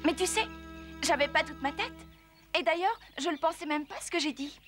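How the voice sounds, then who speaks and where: High-voiced, sheepish young wolf. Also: female voice, over music. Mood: apologetic. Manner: hesitant, halting. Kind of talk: French cartoon dubbing.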